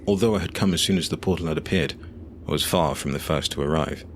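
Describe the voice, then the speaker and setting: Very deep-voiced man narrating fantasy. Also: a little hiss or hum. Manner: measured, solemn, in character. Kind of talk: scripted fantasy audio drama narration.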